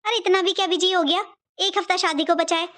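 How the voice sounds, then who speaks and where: A woman's voice: very high voice, fairly even intonation, brisk, fairly bright tone. A woman playing a middle-aged mother-in-law in a cartoon family drama, a fretful matriarch who talks in a rambling, repetitive, motherly way.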